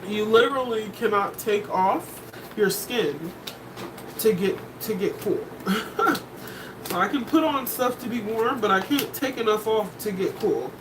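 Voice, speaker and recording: A woman with a deep voice giving a casual tarot reading, blunt and candid, swearing and laughing between points. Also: lively intonation, unhurried, far from the mic, noisy background. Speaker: tarot reader.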